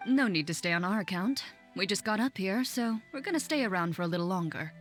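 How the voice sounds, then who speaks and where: Actress voicing cool-headed young woman, middle pitch, dry wit, matter-of-fact, video game dialogue, light background music. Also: animated, fast, close to an everyday voice. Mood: relaxed.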